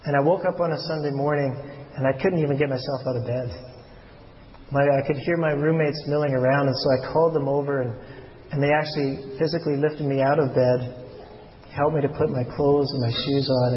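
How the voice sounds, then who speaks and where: A man giving a personal, reflective sermon as a preacher, speaking haltingly and often rephrasing himself. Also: mid-range voice, steady tone. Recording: muffled, noisy recording in a reverberant hall.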